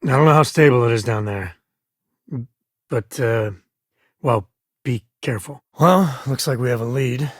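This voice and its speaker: A man playing a roguish frontier ranger and protective father in a space video game, with a husky voice and a folksy, wry manner. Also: medium pitch, a little slow, pauses often, dry recording, clean studio sound, lightly acted. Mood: easygoing, candid. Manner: folksy, wry, casual drawl of words. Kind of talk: video game voice acting, short dialogue lines.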